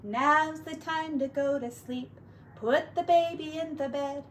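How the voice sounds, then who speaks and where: Woman, teacher, high voice, slow pace, expressive children's read-aloud, drawn-out words, faint background noise. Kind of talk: read-aloud and sing-song play for young children.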